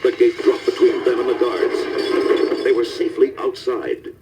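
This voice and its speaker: A man's voice: medium pitch, unhurried, very noisy. A man giving scripted narration of a children's storybook as narrator.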